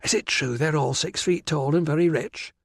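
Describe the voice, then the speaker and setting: Guy doing a silly excitable teen girl for an audiobook, pitched way up, whiny and pushy, dry sound. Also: normal speed, no echo. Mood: excited. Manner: gushing, whiny, insistent. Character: silly, pleading, excitable teenage British girl. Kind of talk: audiobook character voice.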